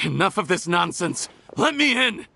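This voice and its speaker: A bitter, scorned alchemist. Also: male voice, sits high, very animated, moderate pace, vocal fry, sharp tone, soft background music, exaggerated voice. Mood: angry. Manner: sardonic, resentful. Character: bitter scorned young male alchemist turned criminal. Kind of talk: video game voice acting.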